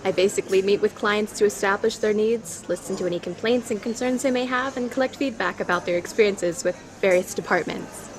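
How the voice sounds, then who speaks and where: A woman's voice: mid-range voice, fairly quick, hardly any pauses, lightly acted. A woman acting in an audio drama as a flirtatious professional on a coffee date, self-assured and coy. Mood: flirtatious, curious.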